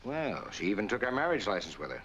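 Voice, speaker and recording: Deep-voiced, fast-talking noir swindler. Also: male voice, sing-song, a bit bright. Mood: courteous. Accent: American. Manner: polite, businesslike. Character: smooth, courteous male swindler posing as husband. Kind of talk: 1940s film noir acting. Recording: dull, noisy old film soundtrack.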